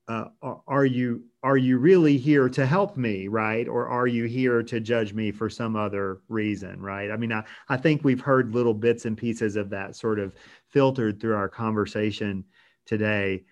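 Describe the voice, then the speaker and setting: A man of medium pitch hosts an interview and talks in steady, measured sentences. He sometimes restarts a phrase or pauses on an "um." His delivery stays conversational. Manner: measured, with some restarts and filler "um"s. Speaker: host from a hospice organization.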